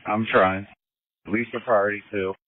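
Male rescue worker, lowish voice, radio reporting, narrow tinny sound. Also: steady tone, moderate pace, no echo. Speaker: rescue unit firefighter.

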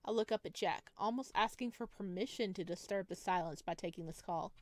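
A woman's voice: on the low side, brisk, no echo. A woman hosting a gaming video, reading visual novel narration expressively with casual asides.

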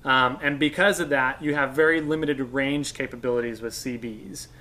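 A man's voice: mid-range voice, moderate pace, pushed and bright. An American man in his thirties, explaining things informally as a knowledgeable guest, in a conversational way. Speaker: guest radio expert and instructor. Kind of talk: casual explanation. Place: indoors on a couch in a workshop room.

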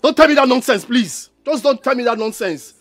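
An actress with a Nigerian accent playing a wronged, hot-tempered woman in a screen drama, loud and confrontational. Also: a little high, big pitch swings, moderate pace. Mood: indignant, hurt.

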